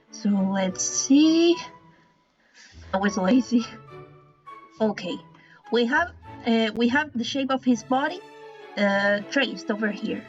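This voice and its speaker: A woman with a Spanish accent, a native Spanish speaker, explains a drawing style in a casual tutorial, hesitant, with many restarts and apologies. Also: mid-range voice. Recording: dull lo-fi sound with faint music.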